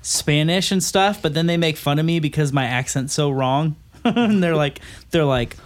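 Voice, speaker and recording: A man's voice: fairly high pitch, normal speed. A man co-hosting a podcast in casual chat over beers, rambling through a story and laughing often. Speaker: podcast co-host.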